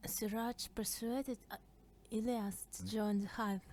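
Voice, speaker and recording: A woman streamer giving quiet playthrough commentary in sparse, murmured reactions. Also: mid-range voice, fairly even intonation, measured pace, a little hiss or hum. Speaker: gamer streamer.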